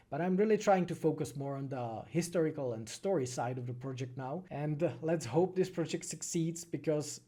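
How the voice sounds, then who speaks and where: A Czech man with a soft, mellow voice that sits a little higher than average. He talks casually as a streamer answering questions from chat. His delivery is thoughtful and hesitant, with frequent pauses as he finds his words in accented English. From Czech Republic. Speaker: animated film creator and streamer.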